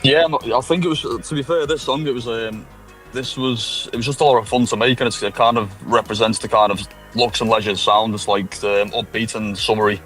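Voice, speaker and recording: A man's voice: medium pitch, steady tone, a bit bright, soft background music, poor audio. A man from England with a Liverpool accent, chatting casually as a guest musician. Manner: quick, easygoing, frequent "yeah". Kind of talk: casual chat.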